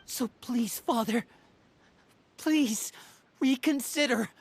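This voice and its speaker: A woman's voice: fairly high pitch, slow, slight background noise. A woman voicing an earnest, loyal youth in a video game, pleading with dramatic sincerity.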